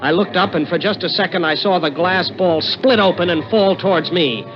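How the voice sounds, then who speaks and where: A man's voice: high-pitched, moderate pace, close to an everyday voice. An American actor playing a scheming young male swindler who narrates his own misadventure in a 1960s radio suspense drama. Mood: tense, urgent. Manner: dramatic first-person storytelling. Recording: muffled old radio recording with music.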